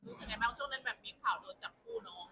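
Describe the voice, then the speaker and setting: A woman's voice: high-pitched, big pitch swings, very fast, gravelly. A woman reporter asking casual questions at a press event, with some crowd sound around her. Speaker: entertainment reporter. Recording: light crowd chatter behind.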